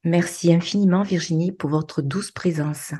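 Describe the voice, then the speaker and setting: A woman's voice: on the low side, moderate pace, mellow, breathy edge. A woman hosting a podcast, reading a prepared introduction and interviewing a guest. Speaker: podcast host.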